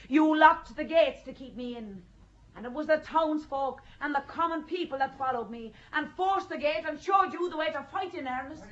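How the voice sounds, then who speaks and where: A woman's voice: high-pitched, normal speed, lightly acted. An actress playing a young, defiant peasant girl in a radio play, earnest and pleading. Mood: fervent. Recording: dull lo-fi recording.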